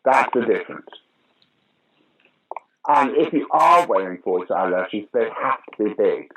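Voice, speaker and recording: A man's voice: fairly low pitch, measured pace, a little hiss or hum, low-quality recording. An older British man, a pantomime dame actor, telling stage stories in a casual interview. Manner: storytelling, animated anecdotes.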